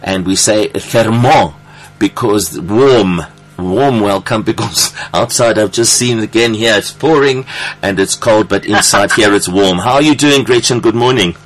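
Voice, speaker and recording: A man's voice: lowish voice, low-quality recording. A man hosting a radio interview, gushing and effusive, stretching out his words in the studio. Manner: effusive, gushing, drawn-out phrasing. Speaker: radio show host.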